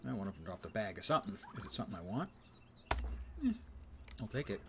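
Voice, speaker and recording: A man's voice: fairly low pitch, expressive, fast talker. A man doing casual live gameplay commentary as a streamer, chatting wryly to himself and his viewers. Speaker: game livestreamer. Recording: noisy, game sound behind. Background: video game ambience.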